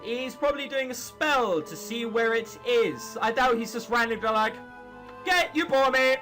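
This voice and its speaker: Young british guy, pretty high voice, swings around a lot, sarcastic and sweary, gaming commentary with music under. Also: moderate pace, sharp tone. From England or Britain. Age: late teens. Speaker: gaming video host. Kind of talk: casual playthrough commentary, reading visual novel text aloud.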